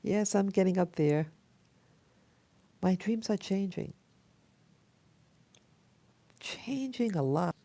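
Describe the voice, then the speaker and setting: An American woman in her late fifties records a casual, reflective spoken journal. Her voice is hoarse and mellow, fresh from sleep. She talks haltingly and very unhurriedly, in a dry, close sound. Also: mid-range voice, expressive, no room sound. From Queens, New York City, USA. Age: 50s, almost sixty. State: just woken up, hoarse. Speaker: vlogger. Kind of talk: casual personal dream journal.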